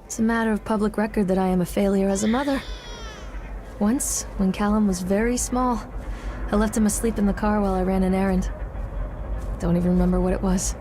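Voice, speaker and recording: A woman's voice: mid-range voice, fairly even intonation, fairly quick, dark tone, a little hiss or hum, lightly acted. A woman playing an anguished, guilt-ridden mother in a dramatic story game. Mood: bitter, confessional. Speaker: protagonist and narrator of a story game. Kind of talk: dramatic game monologue and acting.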